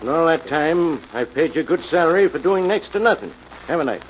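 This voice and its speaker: An actor playing an irascible, suspicious old sea captain in a radio mystery, domineering and scolding. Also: male voice, a little high, lively intonation, a little fast, lightly acted. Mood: stern, accusing. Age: older. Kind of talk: 1940s radio mystery acting. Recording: dull, noisy old radio recording.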